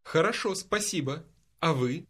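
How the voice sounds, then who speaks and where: A man voicing model phrases in a language lesson, speaking slowly and deliberately. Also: a little high, lively intonation, bright, forceful tone, breathy edge.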